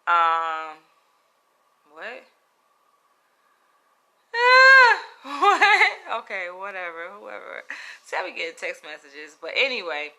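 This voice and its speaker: A woman with a Southern US accent, telling a story to camera in a frank, animated, profane way. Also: medium pitch, takes it slowly, pauses often. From Florida, US. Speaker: comedian. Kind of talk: casual storytelling vlog.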